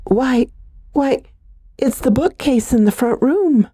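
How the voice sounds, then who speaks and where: Woman acting a slow-speaking haunted young heiress. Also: sits high, expressive, dark tone, breathy edge, no room sound, studio quality, full character voice. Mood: bewildered. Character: frightened, dazed young heiress freed from a vampire's spell. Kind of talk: classic radio horror drama acting.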